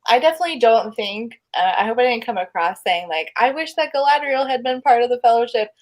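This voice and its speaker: A woman's voice: on the high side, lively intonation, quick pace, a little mellow. A woman giving her opinions earnestly in a casual book discussion among a panel of guests.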